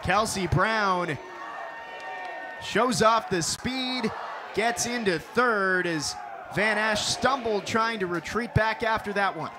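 A young man calling a televised softball game as play-by-play announcer, with a crisp broadcast delivery that lifts for big plays. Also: sits high, takes it slowly, a crowd in the background, noisy background. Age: young adult. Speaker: sports reporter and play-by-play announcer.

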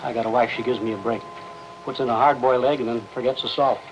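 A grumbling, middle-aged night watchman played by a man with a mid-pitched voice. He is offhand and evasive, drifting off the point in a 1950s TV drama. The old film soundtrack carries a faint noise. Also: steady tone, fast, highs cut off, natural voice. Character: stubborn, evasive working-class night watchman fussing over lunch. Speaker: actor playing a watchman.

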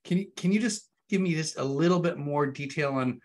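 A man's voice: a little high, fairly quick. A man in his forties hosting a webinar over a video call, talking in an informal, conversational way. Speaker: webinar host. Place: indoor room with bookshelves, on a video call. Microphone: video call microphone.

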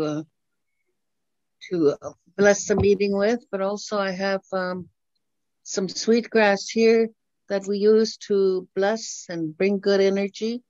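An older woman whose first language is Dakota, an elder opening a gathering with a slow, gentle blessing and teaching, soft-spoken with many pauses, speaking from home on a webcam. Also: on the low side, no echo. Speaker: elder opening a meeting with prayer. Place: home room, indoors. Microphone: laptop webcam on video call. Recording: dull, dry video-call audio.

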